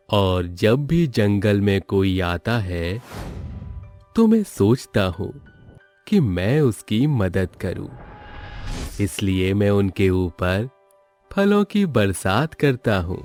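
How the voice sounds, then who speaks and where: A kindly, solemn magical tree from a children's storybook, acted out by a man. The words come very slowly and deliberately in a low male voice that swings in pitch. Soft music plays underneath. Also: heavily acted. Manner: slow, drawn-out, deliberate delivery.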